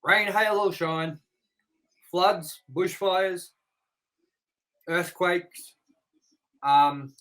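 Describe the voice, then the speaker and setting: Man, young adult, podcast host, crude jokes, swears a lot, slightly high voice. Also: extremely slow, long pauses, bright, forceful tone, poor audio. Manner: crude, joking banter with frequent swearing. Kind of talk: casual co-hosted review podcast.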